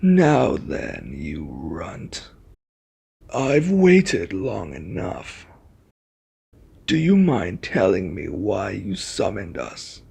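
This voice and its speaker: A man voicing an arrogant, menacing reluctant ally in an ASMR roleplay, with a husky, taunting, threatening delivery. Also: low voice, wildly swooping pitch, very slow, a little mellow, exaggerated voice. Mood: irritated, menacing.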